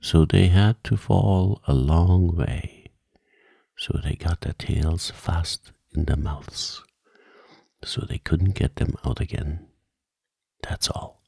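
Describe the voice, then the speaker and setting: A man's voice: sits low, animated, slow, creaky, mellow, husky, no room sound, studio quality, exaggerated voice. A man voicing a solemn, blunt gryphon in a storybook, explaining in a matter-of-fact way.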